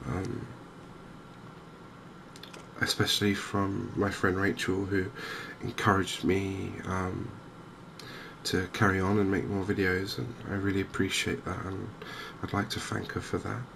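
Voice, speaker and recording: A softly spoken man giving hesitant, unhurried ASMR-style commentary over a video game. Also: low voice, completely flat delivery. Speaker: gaming video host. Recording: clear game ambience noise behind voice. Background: outdoor wildlife ambience from a fishing game.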